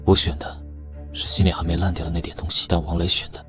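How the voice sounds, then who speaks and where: An actor playing an aloof, powerful fighter in an apocalyptic animated comic, terse, unhurried and commanding. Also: male voice, low voice. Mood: sombre. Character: aloof, battle-hardened man, powerful calm fighter in apocalypse. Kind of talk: dramatic dubbed animated-comic dialogue. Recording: loud music behind the voice. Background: loud dramatic music.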